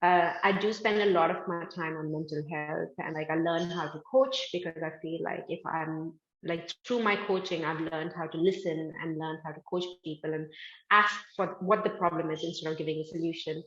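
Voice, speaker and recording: A woman's voice: low-pitched, fairly even intonation, moderate pace, fairly bright tone, echoey room, highs cut off. A woman from India, a business founder, talking casually in an interview with lots of "like" fillers. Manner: chatty, many "like" fillers, upbeat. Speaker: guest, fashion manufacturing founder.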